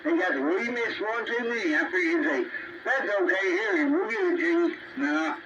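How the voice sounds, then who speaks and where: A man's voice: very high-pitched, lively intonation, moderate pace, gravelly. A man with a Tennessee Southern accent chatting informally and talkatively over a CB radio. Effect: two-way CB radio transmission. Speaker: CB radio operator. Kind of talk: casual radio chat. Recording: muffled, distant, very noisy CB signal.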